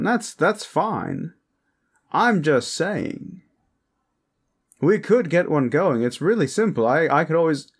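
Guy doing a smug horny vampire protector, flamboyant n suggestive, drags his words out slow, really animated pitch, comedy roleplay audio. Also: exaggerated voice. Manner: theatrical, drawn-out, suggestive, pompous. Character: lecherous, flamboyant male vampire protector, smugly charming. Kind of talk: comedic character roleplay monologue, talking to the listener.

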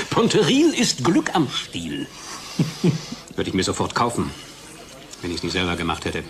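An actor playing a gleeful, cynical drug developer in a radio play, with an ironic, declamatory manner. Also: male voice, sits low, huge pitch swings, slow, pauses often, full character voice. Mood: gleeful cynicism.

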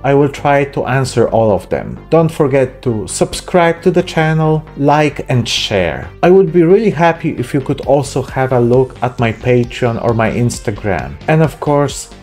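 A man's voice: medium pitch, big pitch swings, normal speed, few pauses, a little mellow, faint music behind. A man in his thirties narrating a miniature painting vlog as the channel's host.

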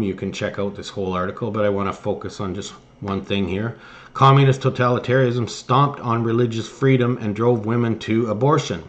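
A man reads written articles aloud as a political commentator. His voice sits on the low side. He trips over the text at times and drops in muttered remarks of his own. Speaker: host of a political commentary show.

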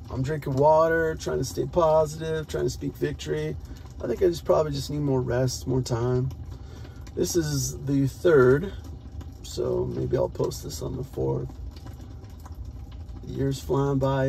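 A man's voice: mid-range voice, vocal fry, dark tone, slight background noise. An American man chatting casually to viewers in an upbeat, rambling way, as a solo vlogger. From United States. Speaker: spoken word poet and vlogger. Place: parked car, talking alone.

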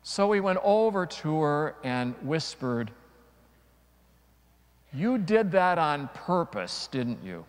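Middle-aged guy priest preaching, slow and deliberate, dramatic pauses, big echoey church. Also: on the high side, big pitch swings. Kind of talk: homily with dramatic storytelling. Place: large church, before a congregation. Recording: long church reverb.

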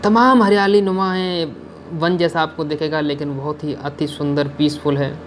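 A young man talks chattily to his viewers while out on a hill road, pointing out views and handing out safety tips. His voice sits a little higher than most men's, with a faint hiss of outdoor air behind him. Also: fairly even intonation, normal speed. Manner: chatty, addresses viewers, gives safety advice. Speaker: travel vlogger. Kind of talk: narrated travel vlog.

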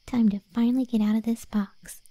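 A woman voicing a cocky, cheeky undead cat girl in a soft, breathy, slangy ASMR roleplay. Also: a little high, steady tone, fairly quick, no echo, close to an everyday voice. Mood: sly musing. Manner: soft and breathy, often near whispering, slangy, teasing.

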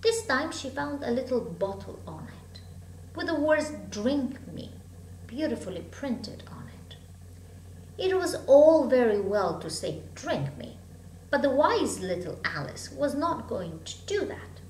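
Woman storyteller, high and very lively, dramatic phrasing for children's fiction; homemade echoey recording. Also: measured pace. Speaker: storybook reader. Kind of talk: expressive children's story reading. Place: room at home with bookshelves. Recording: echoey room, hum and background noise.

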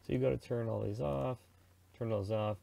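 A man's voice: medium pitch, fairly even intonation, normal speed, vocal fry, mellow, no echo. A middle-aged man giving a casual, rambling product review and how-to talk, in an informal conversational way. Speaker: radio reviewer and presenter.

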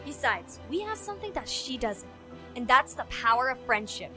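A woman's voice: a little high, big pitch swings, moderate pace, sharp tone, soft background music. A woman playing a proud, determined young cartoon princess with a firm, commanding manner.

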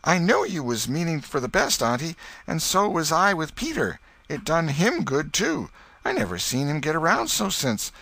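A man's voice: on the high side, huge pitch swings, moderate pace, gravelly, pushed and bright, dry recording, voice pushed up, heavily acted. A man voicing a mischievous young boy in a storybook, with a rustic American accent and playful mock innocence. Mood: cheeky. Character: mischievous, cheeky young boy with folksy speech.

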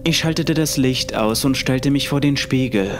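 A man's voice: medium pitch, moderate pace. Male horror story narrator over music. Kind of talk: scripted first-person horror narration. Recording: music under the voice.

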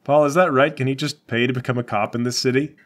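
A man's voice: medium pitch, steady tone, quick pace. A man playing a tabletop game, bantering comedically in a questioning, argumentative way.

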